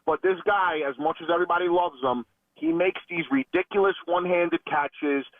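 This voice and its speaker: A man's voice: on the high side, normal speed, no room sound. A man calling a sports radio show by phone, giving fan opinions in an emphatic, punchy, repetitive way. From New York. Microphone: telephone. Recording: tinny phone line.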